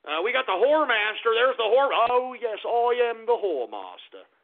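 A man hosting an internet talk radio show, ranting in a loud, profane, exasperated way, with sudden shouted outbursts. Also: very high voice, big pitch swings, normal speed. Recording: tinny phone-like lo-fi audio.

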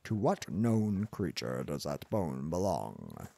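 A man's voice: very deep voice, wildly swooping pitch, takes it slowly, creaky, mellow, no room sound, pitched below the performer's own voice, heavily acted. A man reading an audiobook, voicing a pompous, contemptuous older male professor in a blustering, condescending manner. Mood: lecturing. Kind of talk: audiobook character voice.

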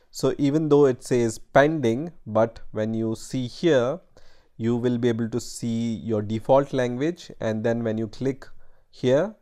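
A man narrating a step-by-step computer tutorial over a screen recording, explaining plainly with frequent pauses and "right?" checks. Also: medium pitch, mellow. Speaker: tutorial host.

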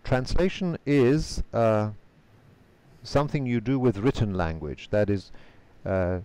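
A man whose first language is Polish answers interview questions carefully over a video call, pausing often with fillers. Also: mid-range voice, expressive, dark tone, clear, resonant tone. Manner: measured, careful, frequent hesitation fillers. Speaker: guest, conference interpreter.

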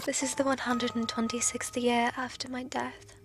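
A woman's voice: fairly high pitch, little pitch movement, normal speed, a bit bright, slight background noise. A woman playing a lonely, forgetful undead woman recording hesitant, intense logs in an audio drama. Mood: confused, fearful. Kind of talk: audio drama log monologue.

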